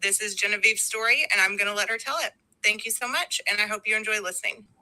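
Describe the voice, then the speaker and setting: A woman hosting a podcast, putting her questions to a guest in a polite, friendly way that gently steers the talk. Her voice is very bright and crisp and sits in a mid pitch. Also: fairly even intonation, quick pace, poor audio. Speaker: podcast host. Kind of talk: recorded podcast interview.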